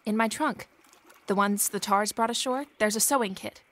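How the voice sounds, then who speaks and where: A woman's voice: mid-range voice, normal speed, fairly bright tone, a little hiss or hum, natural voice. An actress playing a practical, kind and determined young woman in a period audio drama, earnest and decisive.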